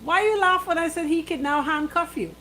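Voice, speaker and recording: A woman's voice: extremely high pitch, brisk, pushed and bright. A woman co-hosting radio, bantering casually in a teasing, insistent manner. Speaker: radio co-host.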